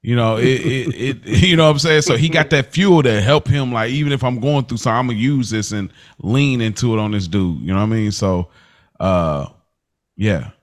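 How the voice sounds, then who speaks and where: A man's voice: medium pitch, normal speed. A young adult man co-hosting a casual podcast, laid-back and laughing often as he talks, restarting his sentences. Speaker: podcast co-host. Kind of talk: casual chat.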